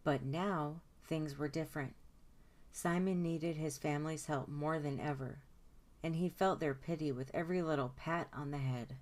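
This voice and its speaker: Low voiced lady doing storytime, reading a kids book out loud, stretches out the important words. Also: steady tone, moderate pace. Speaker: storytime host reading aloud. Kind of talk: expressive children's picture book narration.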